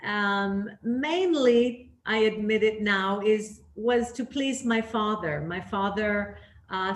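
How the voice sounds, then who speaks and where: A middle-aged woman raised in Canada, telling her career story as an interview guest in a candid, reflective way with frequent fillers and restarts. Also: mid-range voice. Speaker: interview guest, leadership trainer. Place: living in Greece. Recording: echoey room.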